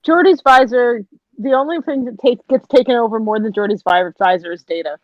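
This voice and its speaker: A woman's voice: a little high, a little fast, no echo. A Canadian woman chatting casually on a group voice call, giggly and talkative, often laughing between remarks. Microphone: home voice-call mic. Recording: muffled voice-chat audio.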